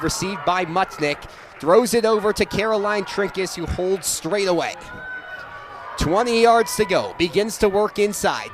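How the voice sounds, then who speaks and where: A young man giving energetic live radio play-by-play commentary of a sports game. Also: high voice. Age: young adult. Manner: energetic, rises to excited calls on big plays. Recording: live stadium with crowd noise. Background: crowd noise at an outdoor game.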